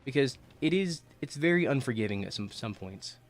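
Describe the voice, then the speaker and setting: A man streaming a video game, chatting casually and jokingly while playing, his throat getting a little rough as he's coming down with a cold. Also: mid-range voice, animated, a little fast. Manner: joking, rambling with restarts. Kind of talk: casual gaming livestream chat.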